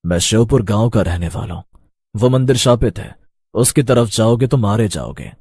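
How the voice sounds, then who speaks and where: A man voicing a solemn villager who gives ominous warnings in an animated horror story. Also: on the low side, moderate pace, husky, no echo, clean studio sound. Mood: grave warning.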